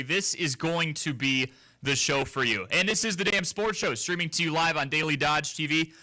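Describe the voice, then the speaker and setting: A man hosting a local sports radio show, delivering promos and banter with energy and quick laughs in the studio. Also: fairly high pitch, bright, forceful tone.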